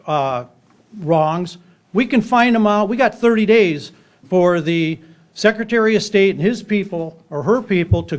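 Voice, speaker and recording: A male candidate speaks in a somewhat high-pitched voice. He is plain-spoken and assertive, delivering his political remarks like a campaign pitch.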